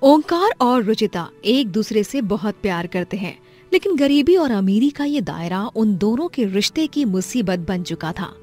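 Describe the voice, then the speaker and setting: A woman's voice: medium pitch, very animated, moderate pace, darkish tone, faint music behind. A woman reading a scripted story as its narrator.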